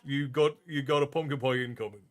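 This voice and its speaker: A man's voice: medium pitch, fairly quick. Chatty man with an Irish-American accent. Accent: Irish mixed with American. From Ireland. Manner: relaxed, chatty, thanks viewers often. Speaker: streamer. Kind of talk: casual chat with viewers.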